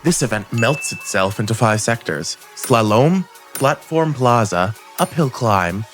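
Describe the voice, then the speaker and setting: A man's voice: mid-range voice, animated, a little slow, a bit bright. A man delivering scripted review narration as a video game reviewer, with a playful, opinionated manner. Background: music.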